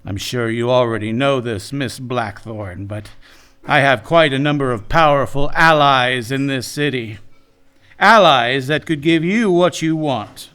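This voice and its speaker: A man's voice: mid-range voice, measured pace, a bit bright, clean tone, natural voice. A man playing a smug, menacing crime boss in a noir radio drama, condescending and mock-polite.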